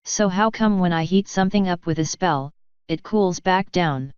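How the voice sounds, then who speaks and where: Woman reader playing curious magic student, casual, blunt, clear lowish voice, fantasy storybook, dry recording. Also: moderate pace, darkish tone, no room sound, dull audio, lightly acted.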